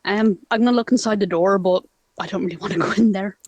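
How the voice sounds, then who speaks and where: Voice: mid-range voice, fast talker, a little hiss or hum. Wry player at a tabletop game. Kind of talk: casual play at a tabletop game.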